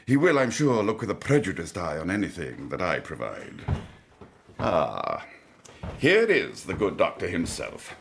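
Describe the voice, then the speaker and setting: An actor playing a fat, scheming foreign count in an audio drama, florid, grandiose and smoothly condescending. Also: male voice, fairly low pitch, exaggerated voice.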